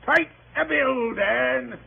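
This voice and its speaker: An actor playing a cheeky, quick-witted young Cockney manservant in an old radio drama, with jaunty colloquial wisecracks. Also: male voice, very high-pitched, gravelly, heavily acted. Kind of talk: 1930s radio acting. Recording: tinny, noisy old radio broadcast.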